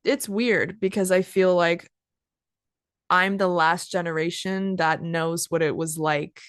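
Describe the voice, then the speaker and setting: A woman's voice: on the low side, fairly even intonation, clean tone, no room sound, clean studio sound. A woman in her twenties, an English teacher hosting a casual podcast chat, talking with lots of "like" and "kind of" and stopping to explain words. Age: 20s. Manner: chatty, many "like" and "kind of" fillers, pauses to define words.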